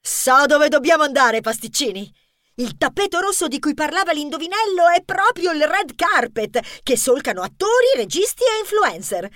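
Woman as bold adventurous mouse heroine, children's audio story: high-pitched, assured, dramatic. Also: big pitch swings, normal speed, fairly bright tone, no room sound, studio quality. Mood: confident. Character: confident, sharp adventurous female mouse friend.

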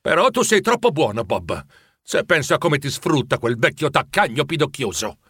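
Storybook wife, played by a male narrator: sharp-tongued, loving underneath, grudging, high-pitched, animated. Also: normal speed, breathy edge, no echo, clean studio sound, exaggerated voice. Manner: grudging, scolding.

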